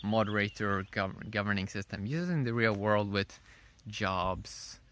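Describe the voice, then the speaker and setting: A man giving a casual, unscripted opinion monologue in a rambling, halting way, often repeating his phrases. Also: on the low side, a bit bright. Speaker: vlogger.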